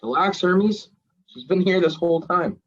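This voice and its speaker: A man's voice: high-pitched, moderate pace, lo-fi sound. A man voicing a lovestruck, laid-back god of the underworld who flirts and jokes. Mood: casual. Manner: smooth, flirty, joking.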